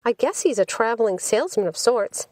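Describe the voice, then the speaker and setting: A woman voicing a sweet, chatty young woman who is easily exasperated, in an animated audiobook reading. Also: fairly high pitch, brisk, no room sound, close to an everyday voice. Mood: chatty, delighted. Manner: animated, indignant when challenged.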